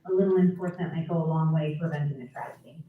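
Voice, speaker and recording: Resident, woman, earnest and polite, lower voice, distant in a roomy chamber. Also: fairly even intonation, a little hiss or hum. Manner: earnest, polite, halting with fillers. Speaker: resident giving public comment. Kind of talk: public comment. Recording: tinny, echoey, from far off.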